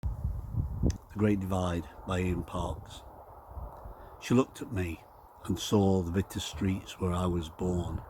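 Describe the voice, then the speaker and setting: A man with a lowish voice recites poetry. His phrasing is measured and very slow, and clear background noise sits behind him on the recording. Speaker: poetry reader.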